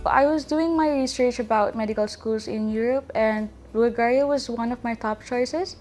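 A woman's voice: on the high side, normal speed, a little mellow, faint music behind. A young woman from the UK, a medical student answering interview questions in a careful, composed way in an auditorium. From United Kingdom.

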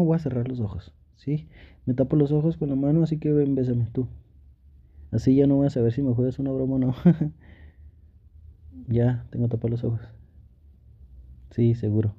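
A man's voice: medium pitch, narrow, tinny audio, natural voice. A young man playing an affectionate, teasing, pouty boyfriend in an intimate ASMR roleplay, talking playfully and pleadingly with sweet pet names. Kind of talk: Spanish ASMR boyfriend roleplay, intimate one-sided dialogue.

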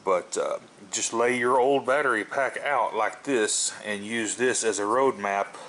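Low-voiced man explaining over background noise. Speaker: host of a tool repair video channel. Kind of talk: casual hands-on tutorial talk. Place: indoors at a wooden table at home. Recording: steady background noise, home video.

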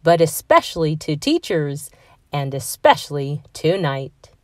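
A woman's voice: sits low, sing-song, unhurried, no echo, studio quality, pitched below the performer's own voice. A woman voicing a jolly, generous old Santa Claus in a children's storybook, kindly and reassuring as he takes charge. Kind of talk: children's storybook read-aloud.

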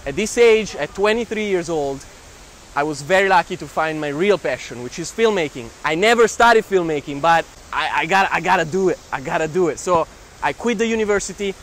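High-voiced young Italian man outdoors. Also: a little fast. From Italian family, raised near Milan. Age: 20s. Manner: animated, exclamatory, repeats phrases. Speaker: travel filmmaker. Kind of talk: talking to camera about life and travels. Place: outdoors in city squares and streets. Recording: clear urban street noise.

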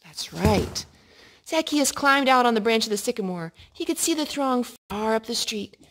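High-voiced woman reading storytime, noisy recording. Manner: expressive, pausing to ask the child questions. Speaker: parent reading a picture book to a child. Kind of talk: storytime read-aloud. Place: living room indoors at a couch.

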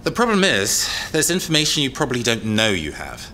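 A bright-voiced, uneasy young tech whiz. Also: male voice, mid-range voice, wildly swooping pitch, brisk, a little hiss or hum, full character voice. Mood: explanatory. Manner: hesitant, explanatory. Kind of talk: naturalistic audio drama acting.

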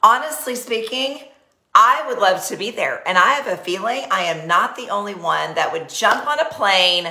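A woman's voice: medium pitch, bright, forceful tone, echoey room. Bubbly Texan woman hosting a live party. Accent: Texas. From Texas. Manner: bubbly, chatty, talks with her hands. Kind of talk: live-streamed sales party. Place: small decorated home office. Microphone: webcam at desk.

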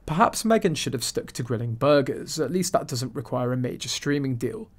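A man at a middle pitch narrates a scripted gossip voice-over. His delivery is snide and mocking throughout, leaning into sarcastic asides. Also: lively intonation, a little fast.

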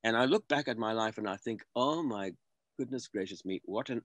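Older South African man, low, dry voice. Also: fairly bright tone, dry recording. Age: 70s. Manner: earnest, halting with many repeated starts. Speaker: guest filmmaker. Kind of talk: reflective interview over video call. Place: home room. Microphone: video call.

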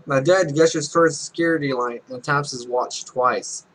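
Bright-voiced young man doing a Let's Play. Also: medium pitch, moderate pace, rough recording. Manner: joking, self-mocking asides. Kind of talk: reading game text aloud with casual commentary. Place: small room at home.

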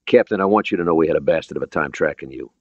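A man voicing a confident American submarine captain in an audiobook, giving brisk, plain-spoken military orders. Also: extremely low pitch, darkish tone, no echo, muffled recording.

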